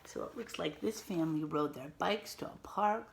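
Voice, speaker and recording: A woman reading a picture book aloud to children as a teacher, expressively and patiently, pausing to explain and ask questions. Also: sits low, lively intonation, creaky, a little hiss or hum. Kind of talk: animated read-aloud with simple explanatory asides.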